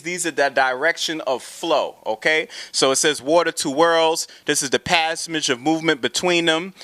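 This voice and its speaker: Bright-voiced man lecturing casually. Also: on the high side, no room sound. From family from Saint Croix and Guyana. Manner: preachy, rambling, asks "you know what I'm saying". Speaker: presenter of a talk show segment. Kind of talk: casual lecture on symbolism and film.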